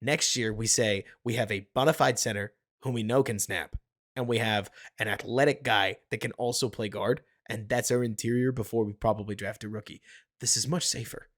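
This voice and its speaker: A man hosting a casual sports podcast, talking excitedly and building his case with rhetorical questions. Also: on the low side, fairly quick. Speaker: podcast host. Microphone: home podcast mic.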